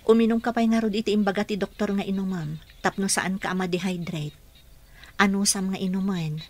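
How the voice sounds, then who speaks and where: Woman acting a devoted, caring wife, medium pitch. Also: fairly even intonation, brisk, slight background noise, close to an everyday voice. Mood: caring, pleading. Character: devoted, caring wife nursing her sick husband. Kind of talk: Ilocano radio drama acting.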